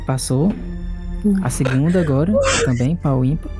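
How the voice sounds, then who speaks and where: A man, narrating a tabletop horror-comedy game as game master in a relaxed way. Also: medium pitch, normal speed, over loud music. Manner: relaxed, laughs easily.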